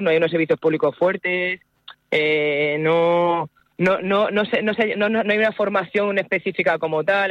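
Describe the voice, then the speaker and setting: A woman's voice: low voice, no echo. A woman in her forties, born and raised in Madrid, speaks as a spokesperson in a radio interview. Her talk is earnest and full of fillers and restarts. The sound is dry and dull, like a lo-fi line. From Madrid, Spain. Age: 40s. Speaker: activist spokesperson.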